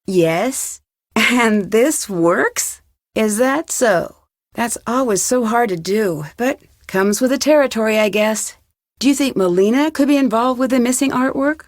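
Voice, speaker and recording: A young American woman detective as an actress plays her in a mystery game. Her voice sits a little high and she speaks clearly, with a polite, questioning manner. The scripted lines are captured dry, with hardly any room sound. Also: a little slow, no room sound, close to an everyday voice. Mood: curious, composed. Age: young adult. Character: young female amateur detective, polite, inquisitive, composed.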